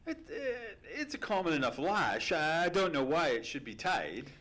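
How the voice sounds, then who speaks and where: A man playing an earnest, easily astonished gentleman sidekick who narrates an old-time radio play in a formal storytelling manner. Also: on the high side, very animated, a little slow, exaggerated voice. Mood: astonished, flustered. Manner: formal storytelling, exclaiming in surprise. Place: live theatre stage performance.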